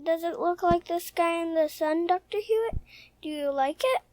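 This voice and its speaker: Shy little boy, very high voice, polite and hesitant, for an old radio drama, dry sound. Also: moderate pace, a little mellow, no room sound. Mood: unsure. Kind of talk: mid-century radio soap opera acting.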